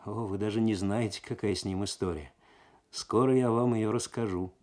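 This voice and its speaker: A man's voice: lowish voice, fairly even intonation, moderate pace, darkish tone, natural voice. Keen young detective, read by a man. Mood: playful. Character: keen, teasing young male police detective.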